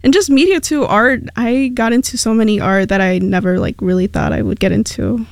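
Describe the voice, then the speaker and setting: A woman's voice: fairly high pitch, fairly quick, gravelly, no room sound, clean studio sound. Chatty young woman, Spanish native speaker. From Mexico. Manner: chatty and rambling, many fillers. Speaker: radio station intern, guest. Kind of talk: casual radio chat. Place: radio studio.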